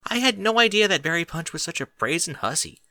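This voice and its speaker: A man's voice: fairly high pitch, lively intonation, quick pace, pushed and bright, voice pushed up, full character voice. A man voicing a doubtful, easily shocked young male pony in a story, hesitant and incredulous. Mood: astonished.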